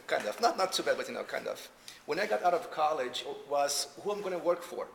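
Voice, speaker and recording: A man's voice: fairly high pitch, expressive, normal speed, gravelly. A middle-aged man with a Brazilian accent, a native Portuguese speaker, talking conversationally and telling stories on a panel. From southern Brazil. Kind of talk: informal panel discussion, storytelling. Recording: roomy echo, faint background noise.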